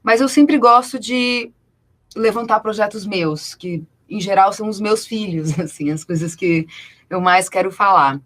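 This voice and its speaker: A woman's voice: mid-range voice, a little slow, a bit bright. Talkative Brazilian woman, interview guest. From Rio Grande do Sul, Brazil. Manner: talkative, peppers talk with tag questions. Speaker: guest, actress and producer. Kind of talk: conversational interview answers. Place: radio studio.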